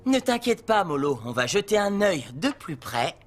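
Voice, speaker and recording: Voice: mid-range voice, sing-song, normal speed, close to an everyday voice. Actor playing a kind handyman in a kids cartoon, patient explainer, drops in spanish words, music behind. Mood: reassuring, instructive. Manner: reassuring, instructive, sprinkles in Spanish words. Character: kind, patient handyman teaching children about safety. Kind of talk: children's cartoon dubbing.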